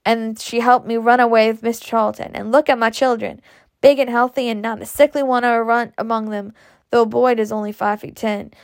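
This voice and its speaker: A blunt and boastful storybook matron of the old South, read out by a woman. The voice is on the high side with some creak at the edges, and it talks on emphatically, proud and stubborn, very close and dry. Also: flat delivery, fairly quick, dry recording, lightly acted. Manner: emphatic, talkative.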